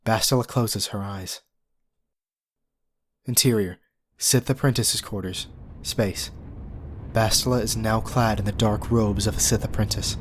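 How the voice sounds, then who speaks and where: A man's voice: fairly low pitch, fairly even intonation, unhurried. A man narrating a scripted audio drama, reading scene directions in a dramatic manner.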